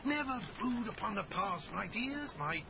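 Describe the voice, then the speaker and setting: A man's voice: sits high, lively intonation, moderate pace, vocal fry, dull audio, noisy background. An actor playing a grandiose, flamboyant father of a troupe of poor players, declaiming floridly in a period radio drama. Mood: grand, doting.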